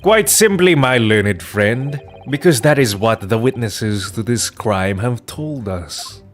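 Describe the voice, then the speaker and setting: A man's voice: mid-range voice, lively intonation, slow, faint music behind. A man voicing a haughty, sardonic prosecutor in a Victorian courtroom video game, pompous, formal and condescending. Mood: smug. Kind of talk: dramatic video game dub.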